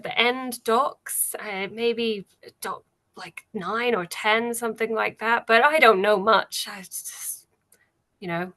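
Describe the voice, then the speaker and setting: A woman's voice: fairly high pitch, fairly bright tone. A woman playing a busy, gossipy tavern server in a tabletop game, speaking casually and hesitantly.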